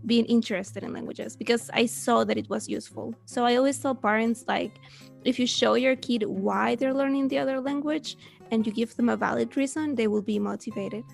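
A woman's voice: a little high, brisk, creaky, faint music behind. A young woman from Venezuela, eagerly telling stories as a guest in an interview. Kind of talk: casual interview chat.

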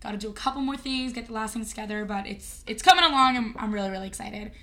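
Young woman, jokey and teasing, bright sharp voice, a bit high. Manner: teasing, jokey, quick banter. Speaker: podcast co-host. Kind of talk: casual chat.

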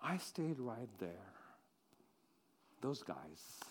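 A man's voice: extremely high pitch, animated, a little slow, a bit bright, slight background noise, voice pushed up, heavily acted. A man playing Mary Magdalene as a disciple who mourns and then rejoices, telling it in first person with many repetitions. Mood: hushed grief. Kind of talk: dramatic monologue for a congregation.

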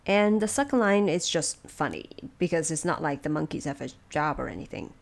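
A woman hosting production notes, explaining things in a measured, semi-scripted and lightly wry way. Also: low-pitched, expressive, gravelly. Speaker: host of production notes for an audio drama.

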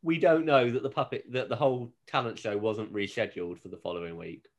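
A man's voice: fairly low pitch, steady tone, fast talker. A British man hosting a podcast, chatting casually and with amusement as he recaps a TV show. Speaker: podcast host.

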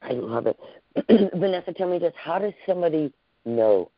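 A man's voice: fairly high pitch, animated, moderate pace, dry recording. A man hosting a podcast interview, effusive and gushing, often repeating himself. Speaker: podcast host. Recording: dull lo-fi call audio.

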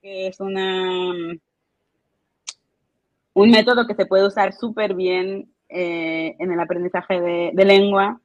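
Woman explaining slowly, dull dry sound. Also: mid-range voice, steady tone, no room sound. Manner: hesitant, many fillers and restarts, explanatory. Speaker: instructional designer guest. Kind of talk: casual interview answers.

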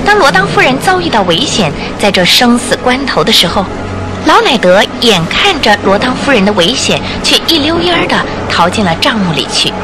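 A woman narrating a radio detective drama in a dramatic, expressive storytelling style from a script. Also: medium pitch. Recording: music under the voice.

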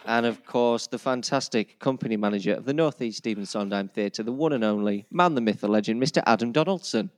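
A man's voice: lowish voice, a little fast, few pauses, no echo. Yorkshire man hosting, close and dry sound. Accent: Yorkshire English. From Yorkshire, England. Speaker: podcast host. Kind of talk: casual hosted chat.

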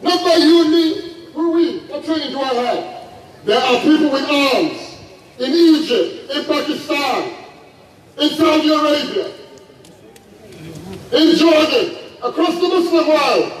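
A man's voice: extremely high pitch, expressive, a little slow, long pauses. A man giving an impassioned public speech at a protest. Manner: impassioned, declaiming. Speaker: speaker at a protest. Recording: echoey from far off.

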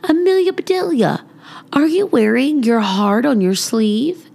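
A caring storybook schoolteacher, acted by a woman narrator, attentive and instructive. Her pitch moves in lively swoops and her pace is unhurried. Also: gravelly, full character voice. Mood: concerned. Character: kind, attentive female primary school teacher in a storybook.

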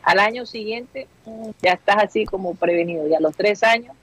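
A woman's voice: mid-range voice, a little fast, slightly rough, dry recording, rough recording. A woman co-hosting a casual chat show, talking in a reflective way and often agreeing with the others. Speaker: co-host.

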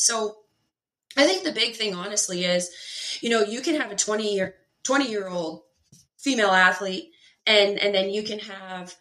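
A woman explaining fitness in a casual, animated way, with many fillers and rhetorical questions. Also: mid-range voice. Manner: animated, lots of filler words and rhetorical "right?". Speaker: pelvic health and fitness expert guest. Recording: echoey room.

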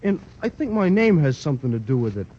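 An actor playing a shy, hesitant lovesick teenage athlete in a 1940s radio sitcom. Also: male voice, on the high side, big pitch swings, fast talker, warm tone, breathy edge. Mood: bashful. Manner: bashful, hesitant. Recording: lo-fi hissy old radio broadcast.

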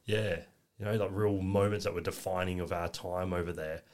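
A man's voice: low-pitched, steady tone, normal speed, a little mellow. An English-born man, chatting easily as a podcast guest, rambling with lots of fillers. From England. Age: 20s. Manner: easygoing, rambling, lots of "you know". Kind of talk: casual interview chat.

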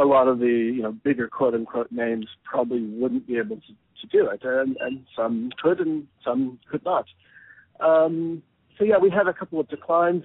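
A man with a gravelly, slightly husky voice talks through his editing work with lively swings in pitch. He is thoughtful and often restarts a phrase. The sound is dry and squeezed into a narrow, phone-like band. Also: dry recording. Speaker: anthology editor. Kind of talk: radio interview answers.

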